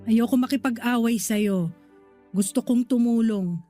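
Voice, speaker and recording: An actress playing a protective, guilt-ridden older sister in a radio drama, speaking earnestly and pleadingly. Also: female voice, fairly high pitch, a little slow, mellow, soft background music, lightly acted. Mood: apologetic. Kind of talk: Tagalog radio drama acting.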